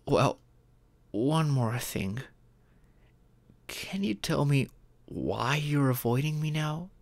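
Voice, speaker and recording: A performer voicing a caring, earnest young romantic partner in an intimate ASMR roleplay, with deliberate pauses. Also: medium pitch, animated. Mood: gentle, concerned.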